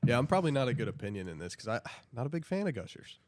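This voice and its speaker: A man's voice: medium pitch, sing-song, rapid-fire, no echo, clean studio sound. A young man co-hosting a podcast in a casual, conversational way among friends. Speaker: podcast co-host.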